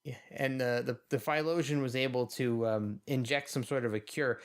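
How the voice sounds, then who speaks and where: Male podcast co-host, medium pitch, casual commentary, wry and musing. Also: steady tone, normal speed, smooth.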